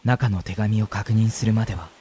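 A man playing a gentle, wistful young university student who narrates a radio drama in an earnest, reflective way. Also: on the low side, steady tone, moderate pace, a little hiss or hum, natural voice. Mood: earnest, wondering. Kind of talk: radio drama acting with first-person narration.

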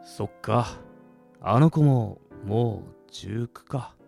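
A woman playing a doting middle-aged village shop uncle in a Japanese audio drama, gruff and folksy. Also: a bass voice, lively intonation, slow, a little mellow, soft background music, full character voice. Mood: wistful.

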